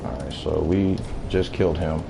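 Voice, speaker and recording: A man in his thirties chatting casually with viewers while gaming, laid-back, talkative and quick to laugh. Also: very deep voice, creaky, background noise. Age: 30s. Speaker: gaming streamer. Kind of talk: casual live-stream chat while gaming.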